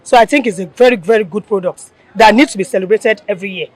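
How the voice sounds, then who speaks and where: A woman's voice: mid-range voice, fast talker, no echo. A woman from a women's forum, answering an interview firmly and with enthusiasm.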